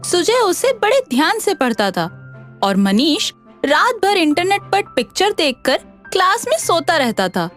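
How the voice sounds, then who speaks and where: A woman narrating scripted animated stories as the storyteller. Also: sits high.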